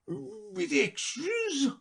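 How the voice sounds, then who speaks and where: A man voicing the Mock Turtle, a sobbing, self-pitying storybook creature who wails his words slowly. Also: sing-song, vocal fry, exaggerated voice. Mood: mournful. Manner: slow tearful wail, high and quavering.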